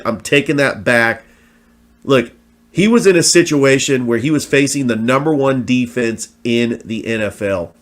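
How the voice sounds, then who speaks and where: A man hosts his own sports show in a lively, casual monologue. He talks with a broadcaster's energy, full of fillers and quick restarts. His voice sounds a little worn as it comes back after being lost. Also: mid-range voice. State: recovering from lost voice.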